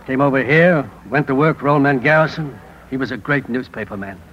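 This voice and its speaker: A man's voice: mid-range voice, a little fast, a bit bright, close to an everyday voice. An actor playing an older, seasoned newspaperman in a 1950s radio drama, speaking with dry, laconic, fatherly stoicism. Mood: stoic, wry. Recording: dull, noisy vintage radio recording.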